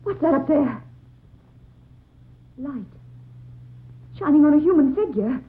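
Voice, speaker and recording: A woman's voice: high voice, expressive, slow pace. An actress playing an anxious woman in an old-time radio drama, speaking in a theatrical, exclamatory way. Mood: frightened, awestruck. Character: anxious woman recounting a ghostly rescue. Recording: muffled tinny old radio recording, faint noise.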